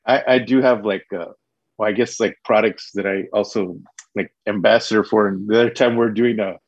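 A man as a podcast guest, telling a story in casual chat with halting fillers. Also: fairly low pitch, fairly even intonation, slightly rough, no echo, highs cut off.

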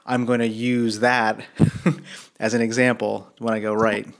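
A man's voice: lowish voice, little pitch movement, a little slow, vocal fry. A man interviewing a singer in a casual chat, hesitant and rambling with many fillers.

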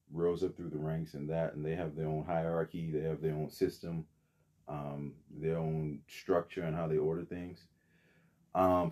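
A man's voice: extremely low pitch, warm tone. A young man from the Bahamas, a podcast guest telling his story in a rambling, casual way with many fillers and restarts.

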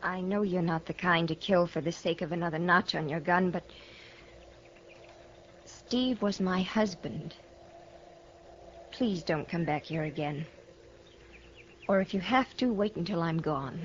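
Actress as guarded, weary American frontier widow. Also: female voice, fairly low pitch, unhurried, long pauses, lightly acted. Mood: hurt, resigned. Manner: guarded, wounded dignity. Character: proud, weary young outlaw's widow on the frontier. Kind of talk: 1950s radio western acting. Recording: muffled, slightly noisy old radio broadcast.

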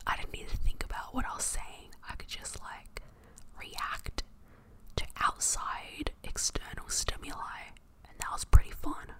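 A woman whispering into a close mic in a casual ASMR chat, softly repeating words and a little out of breath. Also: a little slow. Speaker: podcast host. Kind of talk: whispered ASMR chat doing online quizzes.

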